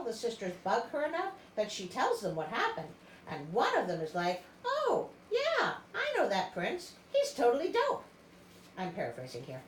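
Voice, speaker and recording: A woman reading a story aloud as its host, dramatic and playful, with chatty asides. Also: medium pitch. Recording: roomy echo, clear background noise.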